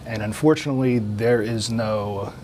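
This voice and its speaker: A man's voice: fairly low pitch, normal speed, a little mellow. A man with an American accent explaining things in an interview as an air traffic control instructor.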